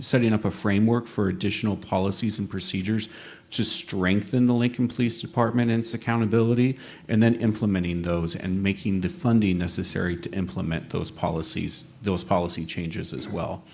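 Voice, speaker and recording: A man's voice: lowish voice, fairly even intonation, a little fast, vocal fry, darkish tone. A man giving a formal political answer at a forum, with emphatic, policy-heavy phrasing. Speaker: city council candidate.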